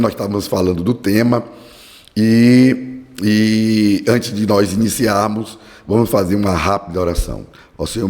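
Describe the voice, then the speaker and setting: Brief: hoarse male Bible teacher, fervent preaching cadence, long reverberant hall. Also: lowish voice, darkish tone. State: hoarse from a cold. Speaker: Bible study podcast host. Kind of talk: devotional teaching with prayer.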